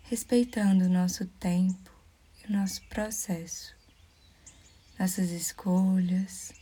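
Breathy, slow Brazilian woman guiding meditation. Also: lowish voice. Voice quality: soft, breathy, near-whisper. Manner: calm, soothing, measured with pauses. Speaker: meditation guide. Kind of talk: guided meditation.

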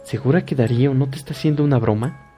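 A man's voice: mid-range voice, fast, dark tone, faint music behind, close to an everyday voice. A man voicing a worried mother who speaks firmly and soothingly to her daughter in a horror story. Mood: calming. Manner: soothing, firm, reassuring. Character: worried middle-aged mother, calming.

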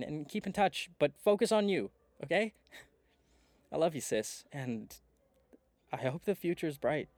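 An actor playing a wry, weary brother in a radio play, talking haltingly and conversationally into a recorder. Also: male voice, on the high side, a little mellow, no echo. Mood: encouraging, affectionate.

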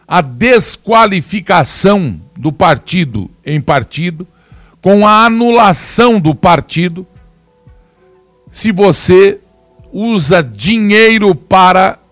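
A man giving opinionated commentary, slowing down to stress his points with emphatic, deliberate delivery. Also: high-pitched, dry recording. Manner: emphatic, slows down to stress words. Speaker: commentator presenting video clips.

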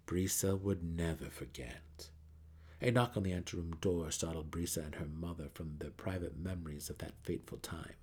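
A man's voice: sits low, normal speed, darkish tone, breathy edge. A man reading a fantasy novel as a narrator in a theatrical, emphatic way. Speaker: audiobook narrator.

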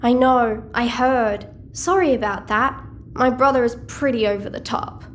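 A woman's voice: fairly high pitch, steady tone, a little slow, a little hiss or hum, natural voice. A woman acting in an audio drama as a chatty, blunt, sheltered young girl, playful and frank. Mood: casual, frank.

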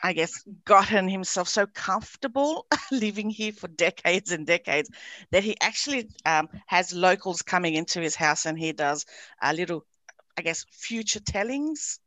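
A woman's voice: on the low side, lively intonation, normal speed, sharp tone, no echo. A woman from Romania, an author being interviewed on a podcast, chatting with lots of fillers and frequent laughter. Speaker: author guest.

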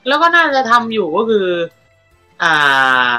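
A man with a bright, forceful voice and very lively intonation trades crude jokes as a podcast guest. He is blunt and casual, with faint music underneath. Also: extremely high pitch, measured pace. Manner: joking, blunt, swearing.

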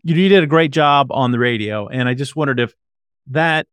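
Smooth, clear-voiced male interviewer. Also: medium pitch, normal speed, a bit bright, no echo. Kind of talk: casual chat.

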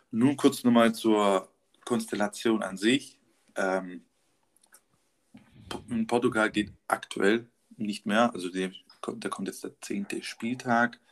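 Chatty young man listing football stats. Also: lowish voice. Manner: stat-heavy, upbeat, filler-laden delivery. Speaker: podcast co-host. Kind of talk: casual football podcast chat.

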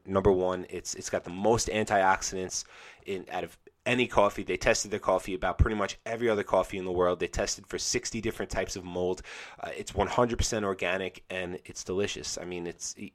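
A man's voice: sits low, a bit bright. A man hosting a solo podcast, talking casually with a sleepy, slightly nasal voice from a cold, rambling enthusiastically with many fillers. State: congested from a cold, sleepy morning voice. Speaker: podcast host.

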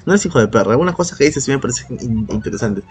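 A man's voice: mid-range voice, expressive, racing, husky. A man with a Peruvian Spanish accent chatting casually as a podcast co-host, opinionated, slangy and heavily profane. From Peru. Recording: music playing behind the voice. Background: background music.

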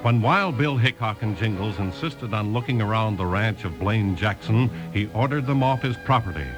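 A man's voice: fairly low pitch, normal speed, poor audio. A man reading scripted story narration as an old-time radio announcer. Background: music.

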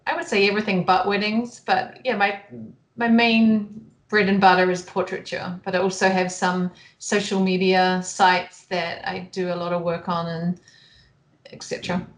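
A woman's voice: on the low side, little pitch movement, normal speed, reverberant. A woman in her thirties or forties with a New Zealand accent, a photographer chatting in a friendly way as a podcast guest. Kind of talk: casual interview chat.

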